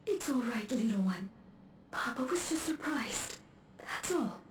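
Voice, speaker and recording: A woman playing a gentle, anxious young mother in a video game, hushed and tender. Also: on the high side, animated, a little slow. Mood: tender, soothing. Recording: echoey, distant, noisy game flashback.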